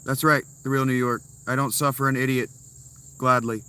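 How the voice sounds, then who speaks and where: A man's voice: mid-range voice, flat delivery, normal speed, sharp tone, clear, resonant tone. A man streaming live from inside a tent, answering chat messages with blunt, sarcastic replies. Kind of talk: casual livestream chat.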